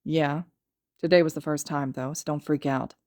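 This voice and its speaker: A woman's voice: low voice, fairly even intonation, normal speed, darkish tone, no echo, studio quality. Woman reading as a drawling, sardonic male villain. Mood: gruff, casual. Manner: drawling, sneering, blunt.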